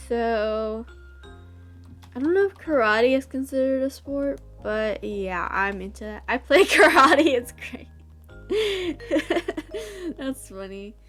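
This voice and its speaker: A woman's voice: fairly high pitch, lively intonation, a little slow, long pauses, a bit bright, soft background music. A young woman with a stuffy nose chatting and giggling casually as she plays through a visual novel for her channel. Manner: chatty, giggly, self-interrupting. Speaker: YouTuber reading a visual novel. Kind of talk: casual game playthrough commentary.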